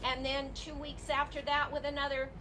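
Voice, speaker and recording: A woman's voice: high-pitched, little pitch movement, normal speed. A woman giving an informal welcome speech from the stage as host of a live theater show. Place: live before an audience at a theater. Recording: clearly noisy live stage recording.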